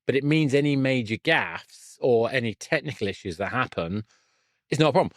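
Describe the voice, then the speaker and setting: A man's voice: mid-range voice, expressive, brisk, dry recording. Middle-aged male host, close dry sound. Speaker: podcast host and interviewer. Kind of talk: casual interview chat.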